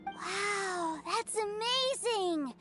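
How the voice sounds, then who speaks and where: A woman's voice: very high voice, extremely slow, over music, heavily acted. A woman voicing an innocent, enthusiastic little boy in a video game, wide-eyed and earnest. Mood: excited. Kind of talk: video game character dialogue.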